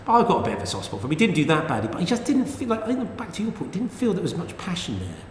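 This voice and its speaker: Animated man in an echoey room. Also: racing, slight background noise. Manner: chatty anecdotal storyteller, lively swings in pitch. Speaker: podcast co-host. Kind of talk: casual chat. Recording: echoey room with long reverb.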